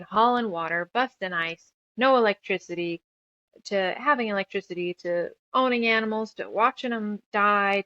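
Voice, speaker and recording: A woman from New Mexico speaking as a guest in a casual interview, earnest and emphatic, with halting phrasing. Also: medium pitch, fairly bright tone, no echo, dull audio. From New Mexico, United States. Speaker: interview guest, author and radio show producer. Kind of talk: casual interview conversation, personal reflection.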